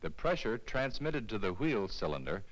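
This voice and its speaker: A man reading a scripted instructional film narration in a clear, measured, explanatory way, as on a 1970s educational film soundtrack. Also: on the low side, very animated, low-quality recording.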